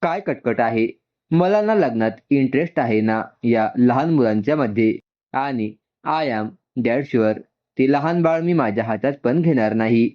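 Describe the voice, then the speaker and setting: A man's voice: medium pitch, expressive, a little slow, lightly acted. Man playing an irritable, arrogant young rock star. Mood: irritated, defiant. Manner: annoyed, dismissive, mixes in English. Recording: dull, muffled lo-fi sound.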